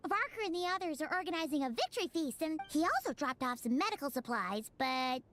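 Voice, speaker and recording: A woman voicing a tiny, chirpy, chatty fairy companion in a video game, with a squeaky childlike voice. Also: lively intonation, fast, a bit bright. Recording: game music behind the voice.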